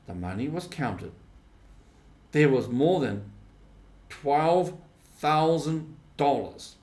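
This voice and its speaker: Slow-paced man reading a story aloud. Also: a little high, lively intonation, darkish tone. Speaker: audiobook reader. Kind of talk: scripted storybook narration, simplified classic novel.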